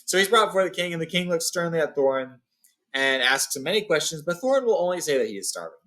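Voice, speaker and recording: A man's voice: a little high, big pitch swings, fast talker, a bit bright. A man hosting a read-along, narrating a fantasy storybook with informal recaps.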